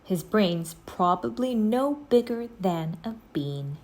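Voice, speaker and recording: A woman's voice: medium pitch, expressive, slow pace, darkish tone. A woman voicing a cautious, skeptical young boy in a children's storybook, with a dry, matter-of-fact delivery. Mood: dismissive. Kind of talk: children's storybook read-aloud.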